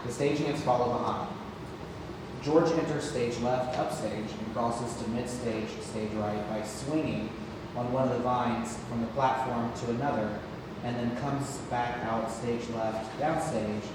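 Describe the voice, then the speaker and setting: A man's voice: medium pitch, fairly even intonation, unhurried. Man reading stage directions, very echoey hall. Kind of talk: scripted narration. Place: theatre room, recorded from far off. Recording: distant echoey hall, clear background noise.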